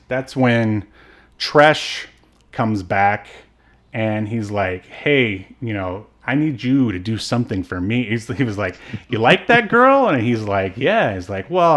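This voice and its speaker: A man's voice: on the low side, a bit bright. A man co-hosting a podcast, chatting casually about film in an informal, rambling way that comes with ready laughter. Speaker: podcast co-host. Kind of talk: casual film discussion chat.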